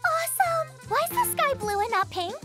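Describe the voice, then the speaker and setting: A breezy little girl fox with a very high, bouncy cartoon voice. She comes across as innocent and naive, with prominent music playing behind. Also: very animated, moderate pace. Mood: cheerful, innocent. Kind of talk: children's cartoon acting.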